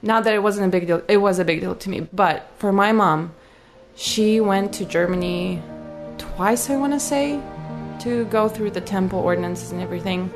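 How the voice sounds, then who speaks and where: A woman from Ukraine in her twenties telling a personal story, halting and searching for words. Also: medium pitch, music in the background. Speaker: guest sharing a story.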